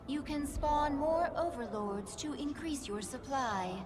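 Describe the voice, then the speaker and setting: High-pitched, deferential alien advisor. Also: female voice, lively intonation. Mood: dutiful, informative. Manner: formal, deferential, measured. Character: dutiful female alien advisor serving her queen. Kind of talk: video game voice acting.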